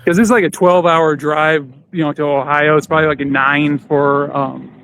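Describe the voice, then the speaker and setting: Higher-voiced man chatting, some background noise. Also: steady tone, moderate pace, a bit bright. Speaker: podcast host. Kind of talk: casual chat.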